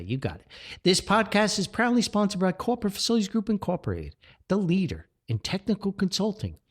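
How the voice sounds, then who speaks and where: A man hosting a solo podcast in a folksy, rambling, jovial way, full of exclamations. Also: fairly high pitch. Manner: jovial, chuckles often. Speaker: podcast host. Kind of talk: chatty solo podcast with sponsor reads.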